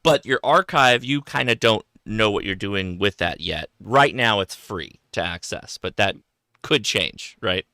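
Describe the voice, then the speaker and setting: A man hosting a show, interviewing casually and conversationally, quick to react and check what he has understood. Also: on the low side, animated, sharp tone, dry recording, studio quality. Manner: reactive, interjects with short acknowledgments. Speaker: show host.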